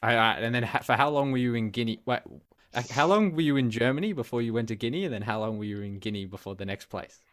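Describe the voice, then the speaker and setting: A man's voice: medium pitch, fast talker, fairly bright tone. A man hosting a casual interview, curious and asking many follow-up questions. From Australia. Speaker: host.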